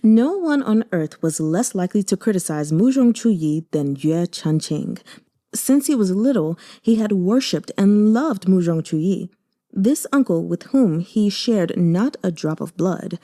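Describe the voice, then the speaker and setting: A woman narrates a novel in a measured, even way. Her voice is mellow and warm, sitting in a middle pitch. She tells the story steadily, like a reader sharing a book. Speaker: audiobook narrator. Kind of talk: reading a translated web novel aloud.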